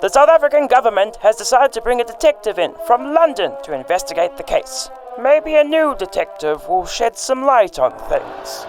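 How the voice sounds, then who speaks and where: A man's voice: extremely high pitch, sing-song, moderate pace, few pauses, over music. A man playing the dramatic narrator of a detective radio serial, reading a script.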